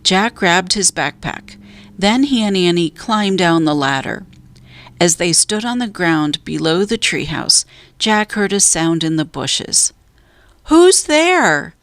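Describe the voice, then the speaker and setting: A woman reading a children's story aloud as a narrator, in a measured, deliberate way with drawn-out emphasis. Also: lowish voice, a bit bright, no echo. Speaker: storybook reader.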